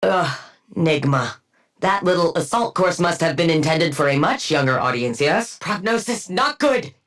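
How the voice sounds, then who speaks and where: A woman's voice: low voice, lively intonation, moderate pace. A haughty female supervillain scientist from a video game. She speaks with clipped, precise diction and a dry, clinical wit. Her self-important delivery treats everyone around her as a lesser mind. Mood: smug, sardonic. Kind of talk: scripted game character voice lines.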